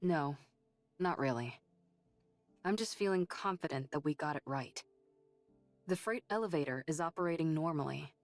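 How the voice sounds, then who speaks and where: A capable young female soldier who knows her machines and frets over her squad. Her voice sits fairly low for a woman, in anime game style. Game music runs underneath. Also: lively intonation, moderate pace, pauses often, no room sound. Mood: focused, confident.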